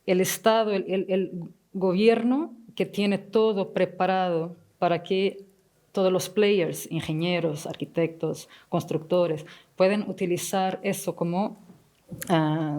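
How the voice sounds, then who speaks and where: Portuguese lady on a panel, soft darker voice, foreign accent, kinda halting as she finds words. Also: on the low side. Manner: halting, searching for words in a second language. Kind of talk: unscripted panel discussion.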